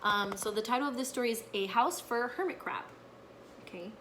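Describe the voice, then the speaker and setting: A woman's voice: a little high, brisk, pushed and bright. Woman reading to children, noisy recording. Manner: frequent checking tags like okay and right. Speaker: teacher reading a picture book aloud to her pupils. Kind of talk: casual read-aloud with explanations to children.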